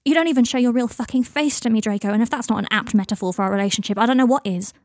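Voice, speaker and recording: A woman's voice: a little high, racing, a little mellow, dry recording, clean studio sound, natural voice. A woman voicing a hurt, exasperated young man in an audiobook story, pleading and accusing with emphasis. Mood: anguished.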